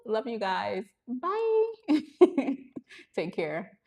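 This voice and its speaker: A woman's voice: fairly high pitch, huge pitch swings, very slow, darkish tone. A woman with a Trinidadian accent chatting live with viewers as a YouTube coach, bubbly and laughing often, recorded on a small handheld camera. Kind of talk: casual livestream chat with viewers. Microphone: handheld gimbal camera.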